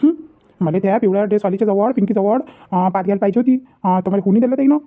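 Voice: medium pitch, fast, a little hiss or hum, natural voice. A performer voicing a cheeky, grumbling young village lad in a comedy cartoon, with a Vidarbha Marathi accent and a habit of repeating himself. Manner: muttering complaints, repeating himself. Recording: muffled, phone-like lo-fi sound.

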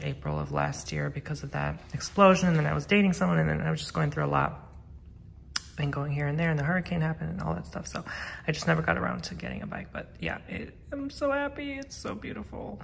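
A man's voice: a little high, creaky, a bit bright, a little hiss or hum. A man in his thirties chatting casually at home into a handheld phone, rambling and filling his talk with "like". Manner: chatty, rambling, heavy use of "like". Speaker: vlogger. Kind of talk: casual vlog chat. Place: home, indoors. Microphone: handheld phone camera.

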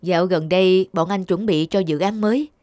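A woman's voice: on the low side, moderate pace, warm tone, dry recording, studio quality, pitched below the performer's own voice, close to an everyday voice. A woman as earnest young boyfriend, music behind. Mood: tired, irritated. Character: devoted, weary young man in love. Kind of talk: cartoon dubbing.

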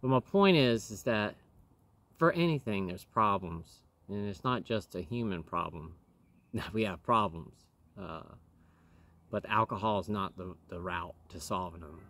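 A man in his thirties, slightly hoarse from dust, rambling earnestly to the camera outdoors with many fillers and clearing his throat. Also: lowish voice, unhurried, fairly bright tone. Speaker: vlogger. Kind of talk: casual talk to camera. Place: outdoors in a wooded yard. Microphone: camera in front at close range.

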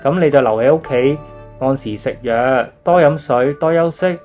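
A woman voicing a firm, instructive doctor in a children's story, with a Hong Kong Cantonese accent and a measured, advising manner. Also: extremely low pitch, dark tone, soft background music, pitched below the performer's own voice.

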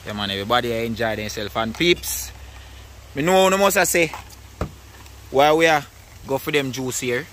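A man's voice: mid-range voice, animated, normal speed, pauses often, fairly bright tone, a little hiss or hum. A Jamaican man hosting a casual outdoor food vlog in a rural yard, laughing often and mixing in patois. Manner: laid-back, laughs often, patois slang. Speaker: vlogger host.

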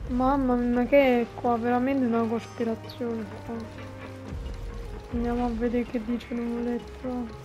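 A woman's voice: fairly high pitch, level tone, normal speed, lo-fi sound. A woman giving casual, informal gameplay commentary as a YouTube host, with muttered reactions and exclamations. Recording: game music behind the voice.

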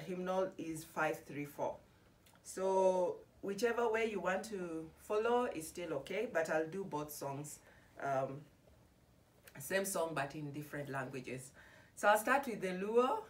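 A middle-aged woman from Kenya giving a soft-spoken, halting devotional introduction before singing a hymn. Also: fairly low pitch. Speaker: gospel singer. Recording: voice a bit far from the mic.

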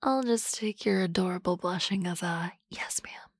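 A woman playing a teasing, confident office boss in a soft, close, whispered ASMR roleplay. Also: on the low side, normal speed, breathy edge, no room sound.

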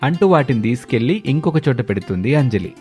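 A man's voice: medium pitch, lively intonation, a little fast, dark tone. Male storyteller over light music. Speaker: narrator. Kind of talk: scripted storytelling narration for animated tale.

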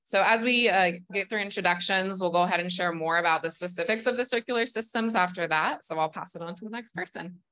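A woman's voice: fairly low pitch, fast talker, few pauses, sharp tone, rough recording. A young woman from Northern California introducing herself as a family farmer on a conference panel, friendly and explanatory with frequent ums. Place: conference room with audience.

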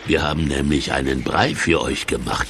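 An actor playing a well-meaning, placating villain's sidekick in a children's radio play, coaxing and reassuring. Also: male voice, a bass voice, fairly even intonation, moderate pace, fairly bright tone, slight background noise. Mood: soothing, scolding.

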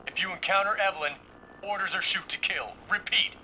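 A curt commanding officer, played by a man, issuing radio orders in a somewhat high voice. A faint hum lingers behind. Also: brisk, natural voice.

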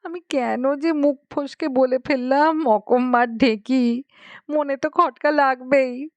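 Woman acting a high-pitched, plaintive village wife. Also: steady tone, takes it slowly. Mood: tearful, aggrieved. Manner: plaintive, complaining, rustic colloquial speech. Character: tearful, aggrieved village wife, wailing and regretful. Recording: muffled lo-fi, roomy echo.

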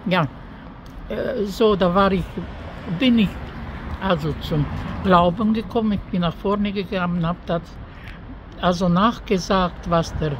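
An older woman shares a personal testimony of faith in a spontaneous, prayerful style. She speaks at a middle pitch and halts often to search for a word. It is recorded outdoors, with faint street noise around her. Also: muffled recording. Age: older, over 63. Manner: halting, searching for words. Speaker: interviewee giving a faith testimony. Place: outdoors by an apartment entrance on a street.

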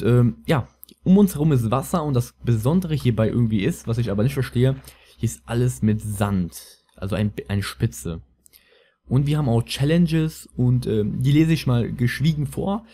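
Lisping young man, mellow gameplay commentary. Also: medium pitch. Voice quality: lisp. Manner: rambling, slangy. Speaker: let's player, host. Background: music.

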